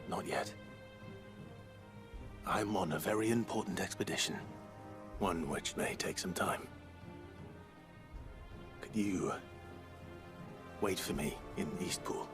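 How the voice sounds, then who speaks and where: Brooding, low-voiced young noble warrior. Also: male voice. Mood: gentle, solemn. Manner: grave, measured. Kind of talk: dramatic video game acting.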